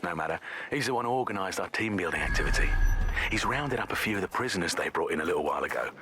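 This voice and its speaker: An actor playing a callous, boastful British military thug in a video game, chatting in a matey, gloating way. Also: male voice, low voice, lively intonation, racing. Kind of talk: casual banter in video game dialogue. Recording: clear background noise.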